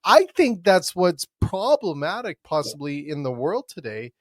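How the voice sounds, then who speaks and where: A man's voice: sits high, big pitch swings, measured pace, no room sound. Chatty male podcast host, dry sound. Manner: rambling, self-interrupting with repeated starts. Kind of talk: casual conversational interview.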